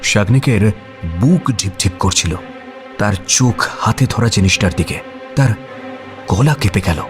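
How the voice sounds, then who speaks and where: A man narrating a scripted suspense story as a dramatic storyteller, using pauses for tension. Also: lowish voice, lively intonation. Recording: music behind the voice.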